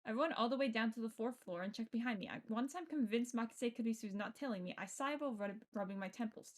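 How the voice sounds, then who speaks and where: A woman streamer reading a visual novel aloud and adding casual, playful, expressive commentary. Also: on the high side, quick pace. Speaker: game streamer.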